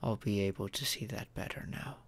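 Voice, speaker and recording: A performer voicing a caring, earnest young romantic partner in an intimate ASMR roleplay, with deliberate pauses. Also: sits low, vocal fry, slightly rough, full character voice. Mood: tender, reassuring.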